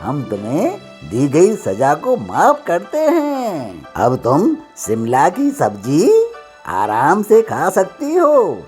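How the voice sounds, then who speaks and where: A grand cartoon king, played by an actor, speaks slowly with swooping intonation. He is jovial yet stern, handing down pompous royal pronouncements. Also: fairly high pitch, huge pitch swings, a little mellow, soft background music, heavily acted. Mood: pleased. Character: jovial king, amused but stern judge. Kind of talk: animated cartoon story acting.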